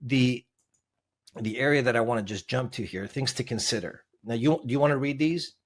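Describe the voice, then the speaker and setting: A man's voice: medium pitch, normal speed. A man, a podcast guest, explaining legal text in conversation, emphatic and quizzing the host with rhetorical questions.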